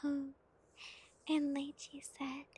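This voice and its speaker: A woman whispering gently and softly as an ASMR streamer, giggling now and then and playing a cute spooky bat persona. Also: high-pitched, monotone, slow pace. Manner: calls herself a bitty bat, spooky cutesy persona. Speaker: ASMR streamer reading chat.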